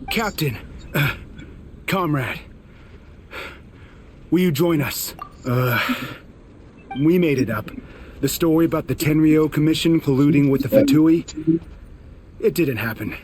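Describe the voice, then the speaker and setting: An actor playing an embittered young resistance soldier in a video game, intense and defiant, haunted by fallen comrades. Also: male voice, fairly high pitch, darkish tone, slight background noise. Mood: persuasive.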